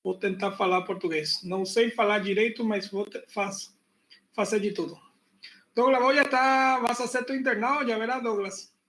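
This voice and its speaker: A man teaching an online university class, relaxed and chatty, repeating his points and joking with the students. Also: sits high, normal speed. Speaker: university pathology lecturer. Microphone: computer mic on a video call.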